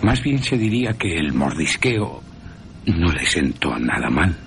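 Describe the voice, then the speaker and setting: A man narrating a dramatic scripted radio story in a theatrical, deliberate manner, with wry asides. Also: extremely low pitch, sing-song, a bit bright, slight background noise.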